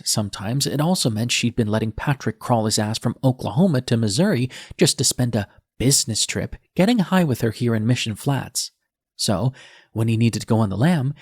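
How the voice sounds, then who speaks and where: A man's voice: mid-range voice, husky, no echo, studio quality. A man narrating an audiobook in the first person as a defiant, bitter young male nurse, with a sardonic, edgy manner.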